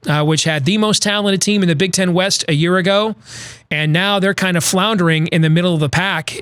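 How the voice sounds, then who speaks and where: A man's voice: fairly high pitch, fairly bright tone, no echo. Talkative male sports analyst, dry sound. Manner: conversational, with frequent restarts and self-corrections. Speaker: podcast host. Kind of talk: college football analysis monologue.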